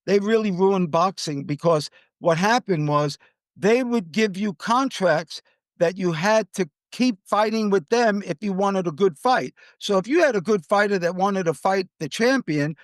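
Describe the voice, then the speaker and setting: A man's voice: sits high, dry recording. An American man hosting a podcast, giving conversational commentary on the news. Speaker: podcast host.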